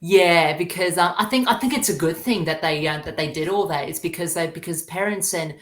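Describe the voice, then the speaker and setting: A man's voice: a little high, a little fast, fairly bright tone. A young Australian man chatting casually as an interview guest, hesitant and earnest in his delivery. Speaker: podcast guest, YouTuber. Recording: roomy, echoing sound.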